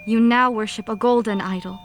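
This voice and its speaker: A woman plays a heartbroken but resolute young sweetheart in a radio drama. Her voice is fairly high, measured and earnest, over faint music. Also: steady tone, close to an everyday voice. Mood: sorrowful. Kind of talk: old-time radio play acting.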